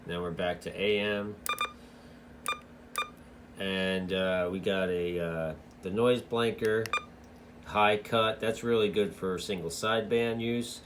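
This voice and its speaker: A man's voice: low voice, fairly even intonation, takes it slowly, pauses often, background noise. A man giving a casual, loosely explained product walkthrough as a radio hobbyist reviewer, in an informal, rambling way.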